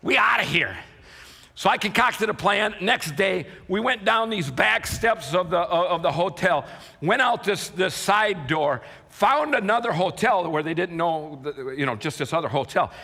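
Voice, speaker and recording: A middle-aged man preaching in a large church hall, with a long reverb trailing his words. His voice sits a bit high and bright. He tells his story in a sermon style that swings between loud, impassioned outbursts and quiet, hushed passages. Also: animated, measured pace, creaky. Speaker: pastor.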